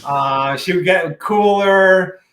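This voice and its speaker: A man's voice: high-pitched, slow, fairly bright tone. A chatty man in his forties, originally from Puerto Rico with Spanish as his first language, speaking in a slightly raised voice. He answers interview questions in a loose, casual way, full of "you know" asides. The sound comes over a video call from a room at home with a roomy echo. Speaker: podcast guest.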